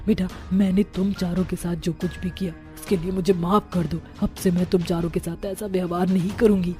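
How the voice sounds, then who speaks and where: A woman's voice: lowish voice, little pitch movement, brisk, warm tone, close to an everyday voice. A woman voicing a scolding middle-aged witch mother in a cartoon story, with theatrical delivery. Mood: remorseful. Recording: music behind the voice.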